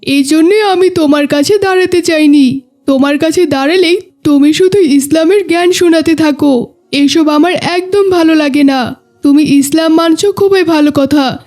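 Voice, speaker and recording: A woman's voice: high-pitched, moderate pace, a little mellow, close to an everyday voice. A woman voicing a whiny, petulant young schoolboy in a children's moral cartoon. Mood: defiant, dismissive. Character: defiant, fun-loving schoolboy, later remorseful.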